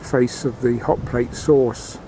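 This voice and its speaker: A man's voice: fairly even intonation, takes it slowly, mellow. A man giving unscripted, matter-of-fact technical commentary as an amateur experimenter demonstrates equipment. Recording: noisy location sound, vehicle-like background rumble.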